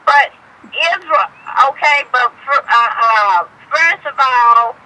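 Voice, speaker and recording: A woman's voice: high-pitched, lively intonation, takes it slowly, pushed and bright. A middle-aged woman in a heated phone conversation, indignant and insistent, repeating herself as she protests. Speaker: prank call target. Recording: phone line with faint noise.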